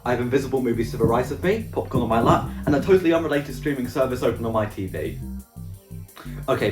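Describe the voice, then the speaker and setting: A man hosting a scripted comedic video essay, reviewing films with sarcastic, wry remarks and casual swearing. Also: on the low side. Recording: music behind, roomy echo. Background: background music.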